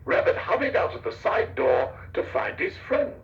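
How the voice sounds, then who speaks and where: A man's voice: mid-range voice, very animated, moderate pace, creaky, far from the mic. A man narrating a scripted children's story as the storyteller. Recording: dull cassette, roomy, music behind.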